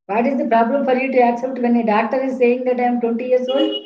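High-pitched Indian woman lecturer, echoey room. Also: flat delivery, fast. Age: 50s. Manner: didactic, repeats points, poses questions. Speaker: law lecturer teaching an online class. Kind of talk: informal lecture with questions to students. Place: small plain room indoors. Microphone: webcam or laptop mic at a distance. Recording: roomy, echoing, dull laptop-mic sound.

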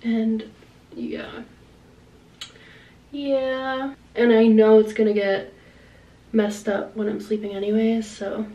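A woman's voice: fairly high pitch, flat delivery, slow pace, long pauses, warm tone, far from the mic, dull audio. Chatty Long Island woman vlogging. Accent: Long Island American. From Long Island, USA. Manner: chatty, rambling, many "like" fillers. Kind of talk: casual vlog chat. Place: small indoor room, then home kitchen.

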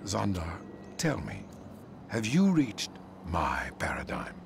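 Low-voiced, unctuous cult leader man. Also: huge pitch swings, takes it slowly, creaky, noisy background, full character voice. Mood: serene, sly. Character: serene, sly male cult leader. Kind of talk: video game cutscene acting.

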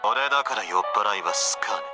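Guy acting cocky brusque young warlord from a japanese romance game, deepish voice, bossy and lordly, loud music behind him. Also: lively intonation, a little fast, close to an everyday voice. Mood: scornful, irritated. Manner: haughty, commanding, archaic lordly speech. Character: proud, brusque young male samurai warlord. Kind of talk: dramatic visual novel acting.

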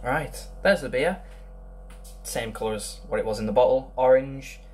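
A man's voice: mid-range voice, moderate pace, over music. A young man casually reviewing a beer at home, rambling with lots of ums. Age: young adult. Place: small room indoors.

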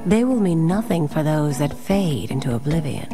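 A woman's voice: low-pitched, big pitch swings, moderate pace, music in the background. A woman voicing a coldly menacing, imperious sorceress in a video game, speaking with grandiose deliberation. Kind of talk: video game villain acting.

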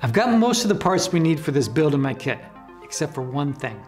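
A man in his forties presenting as a host to camera, explaining in a measured, scripted way, recorded on a lapel mic. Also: fairly high pitch, sing-song, faint music behind. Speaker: host of an electronics build channel. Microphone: lapel microphone.

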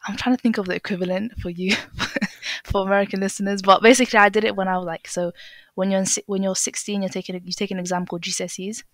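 A woman's voice: medium pitch, steady tone, fast, fairly bright tone, dry recording. A young woman from England with an English accent, chatting as a podcast guest, hesitant with many fillers and restarts. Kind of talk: casual interview chat.

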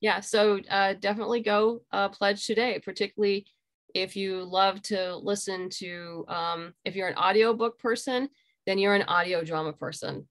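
A middle-aged woman giving casual, explanatory interview answers on a video call, haltingly thinking aloud. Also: mid-range voice, steady tone. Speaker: interview guest, audio drama creator. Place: small room at home.

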